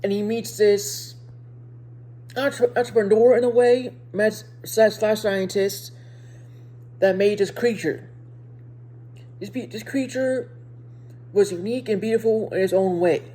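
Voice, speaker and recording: A woman with a soft-edged, mellow voice reviews a show casually for her viewers. She has a speech impediment and moves haltingly through her sentences, often circling back to repeat or reword a phrase. Her pitch sits in the middle range for a woman. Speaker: video reviewer.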